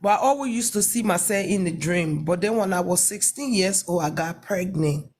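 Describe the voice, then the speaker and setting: A woman from Liberia calling into a livestream, telling her story in a halting way and repeating phrases. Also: fairly low pitch, expressive, darkish tone, slight background noise. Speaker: caller on a livestream. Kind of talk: personal testimony, casual chat.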